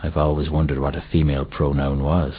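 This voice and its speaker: A man's voice: extremely low pitch, little pitch movement, fairly quick, breathy edge. An actor playing a shifty, dim, cowardly city watchman in a comic video game, hesitant and evasive, forever making excuses. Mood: dim, curious. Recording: dull, muffled old console game audio.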